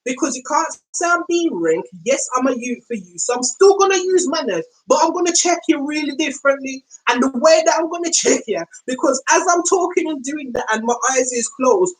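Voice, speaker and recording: A woman with a London accent telling a story in a casual, slangy monologue, acting out quoted speech in slow, deliberate tones. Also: sits high, lively intonation, low-quality recording. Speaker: podcast host.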